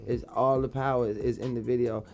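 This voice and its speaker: A young man speaks in a laid-back, rambling way, often circling back on his own words. His voice has a gravelly, creaky edge. Faint music plays behind him. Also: medium pitch, level tone, brisk, a little mellow. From Baltimore, born in Dallas. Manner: laid-back, repeats himself a lot. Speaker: rapper guest. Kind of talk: casual interview talk.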